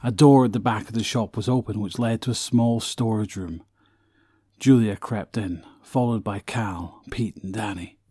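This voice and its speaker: Male narrator, low husky voice, scripted storytelling, very dry close sound. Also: steady tone, moderate pace, warm tone, no echo.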